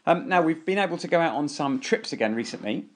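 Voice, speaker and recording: A woman's voice: low voice, expressive, fast. A middle-aged woman hosting a casual family podcast, chatting and asking questions. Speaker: podcast host, parent.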